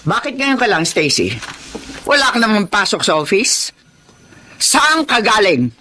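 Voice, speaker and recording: A woman's voice: medium pitch, big pitch swings, measured pace, pushed and bright, a little hiss or hum. An actress with a Filipino accent playing a stern, furious older mother in a radio drama. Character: stern, furious older mother scolding a cheating younger woman.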